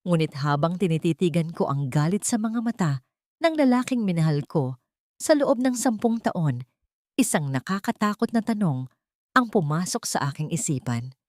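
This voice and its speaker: A woman's voice: fairly low pitch, animated, moderate pace, a little mellow, no echo, studio quality. A woman with a Filipino accent reading scripted, dramatic first-person narration as a storyteller.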